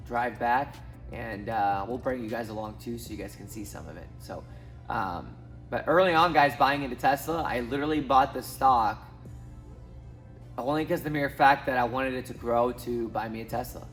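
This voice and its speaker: A man's voice: mid-range voice, moderate pace, fairly bright tone, soft background music. A man in his thirties from Southwest Missouri, talking casually to camera as a finance YouTuber, rambling with many ums and restarts. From Southwest Missouri, USA. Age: 30s. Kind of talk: casual talking-head vlog.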